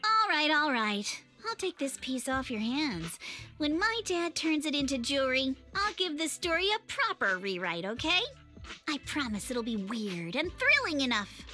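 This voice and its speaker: Shrewd, teasing anime game girl, high-pitched and very animated. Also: exaggerated voice. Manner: bossy, teasing, expressive. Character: spirited, shrewd young lady in an anime game. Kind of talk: video game voice acting.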